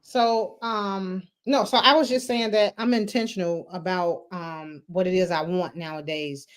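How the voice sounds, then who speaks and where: A woman's voice: on the low side, fairly even intonation, measured pace. A woman hosting a casual talk show, speaking earnestly and hesitantly with frequent fillers. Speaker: podcast host. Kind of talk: casual chat.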